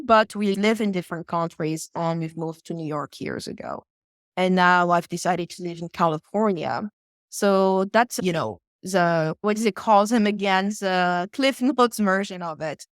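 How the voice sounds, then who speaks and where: A young woman from West Africa, a podcast guest, talking about her background in a calm, reflective, unhurried way. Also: on the low side, no echo, studio quality. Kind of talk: casual interview talk.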